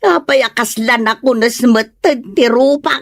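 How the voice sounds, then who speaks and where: A woman playing a poor, lonely, plaintive wife mocked for her looks in an Ilocano comedy drama, exclaiming in drawn-out phrases. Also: fairly high pitch, dry recording, clean studio sound. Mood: dejected self-pity.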